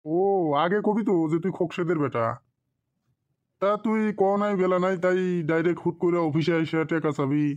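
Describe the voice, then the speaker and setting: A man's voice: a little high, fairly quick, darkish tone, highs cut off, natural voice. A man voicing a stingy, scheming office boss in a cartoon drama, brusque and condescending, in a rural Bengali dialect. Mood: scornful. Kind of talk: amateur cartoon drama dialogue.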